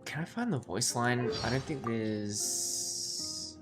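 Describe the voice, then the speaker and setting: Male streamer, casual sweary reaction chat, average pitch, heavy music bed from the game. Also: animated, slow pace. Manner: sweary, informal. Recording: loud game music under the voice.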